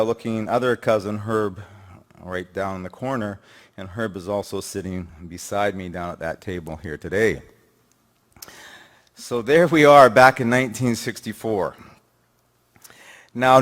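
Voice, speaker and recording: Loud jovial man in his sixties. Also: lowish voice, in a large hall. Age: 60s. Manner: loud, jovial, self-deprecating. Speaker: patient speaker. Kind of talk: personal talk at a symposium. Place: lecture hall. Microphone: podium microphone.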